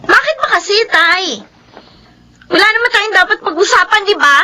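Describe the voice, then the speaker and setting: An actress playing a resentful, outspoken young midwife daughter in a radio drama, firm and sharp-tongued. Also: female voice, very high voice, normal speed, exaggerated voice. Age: young adult.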